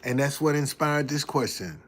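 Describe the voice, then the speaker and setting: A man's voice: medium pitch, expressive, normal speed, a bit bright, slight background noise. A man in his seventies hosting a livestream, preaching about success and reading out viewers' messages in a folksy way. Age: 70s. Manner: folksy, preacherly, reads chat messages aloud. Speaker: livestream host. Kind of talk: casual livestream talk and preaching.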